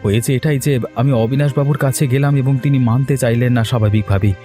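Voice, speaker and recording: A man's voice: medium pitch, fairly even intonation, fast, mellow, soft background music, lightly acted. A man playing an honest, aggrieved young villager in a cartoon story, earnest and complaining. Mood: bitter, glum. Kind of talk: dramatic cartoon story dialogue.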